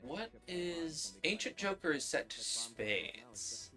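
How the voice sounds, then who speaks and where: A man's voice: a little high, sing-song, slow, pushed and bright. A man streaming a game, thinking aloud and explaining his choices in casual commentary. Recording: music and radio drama behind.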